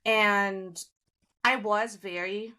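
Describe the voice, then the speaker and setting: A woman from India in her forties, a guest in a casual conversation, telling stories in an animated way with frequent laughter. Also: medium pitch, extremely slow.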